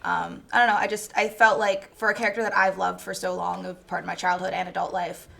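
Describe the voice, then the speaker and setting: Creaky-voiced woman giving casual film opinions. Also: fairly low pitch, flat delivery, fast, a bit bright. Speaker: film review panelist. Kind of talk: casual film review chat.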